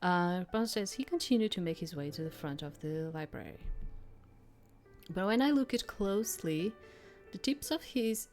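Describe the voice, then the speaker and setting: Low-pitched woman thinking aloud over music. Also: expressive, moderate pace, darkish tone. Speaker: let's player reading a visual novel aloud. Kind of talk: casual gameplay commentary mixed with read-aloud story text. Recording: background music under the voice. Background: game music.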